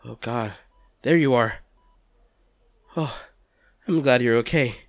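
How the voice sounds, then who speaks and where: A man playing an anguished, tender lover comforting an injured partner in a hospital audio roleplay, speaking haltingly and with emotion. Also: medium pitch, dry recording, studio quality. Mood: grieving, tender. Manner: halting, stammering, emotional delivery. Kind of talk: audio roleplay drama, one-sided dialogue.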